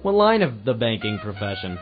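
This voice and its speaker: An actor playing a hard-boiled, wisecracking private eye in an old-time radio detective drama, with a wry, sardonic delivery. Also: male voice, lowish voice, sing-song, a little fast. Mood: wry, chatty. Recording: muffled amateur radio-drama recording with music.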